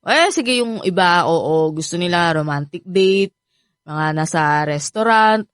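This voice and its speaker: A woman's voice: on the low side, animated, unhurried, smooth, dry recording, clean studio sound. An actress playing a frank, exasperated young female friend in a Filipino radio drama, lecturing bluntly with a Filipino accent. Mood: explaining.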